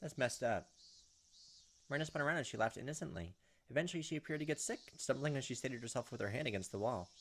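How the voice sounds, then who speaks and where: A man's voice: medium pitch, animated, fast, fairly bright tone, slight background noise. A man hosting a casual let's play, reading visual novel text aloud and adding offhand, jokey commentary. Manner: jokey asides and reactions. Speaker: gaming video host. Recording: music behind the voice. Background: visual novel game music.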